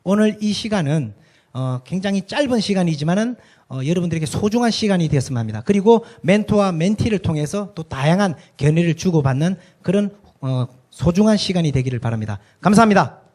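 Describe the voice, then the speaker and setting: A man's voice: sits high, animated, fairly quick, a little mellow. A man with a regional dialect giving an emphatic lecture on stage to a live audience, often throwing rhetorical questions at the listeners. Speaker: speaker at a talk concert.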